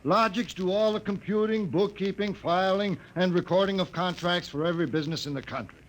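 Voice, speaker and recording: An actor playing an excitable middle-aged company boss in a 1950s radio drama, blustering emphatically. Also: male voice, sits high, a little fast, lightly acted, rough recording. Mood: dismissive, blustering. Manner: emphatic, dismissive barking.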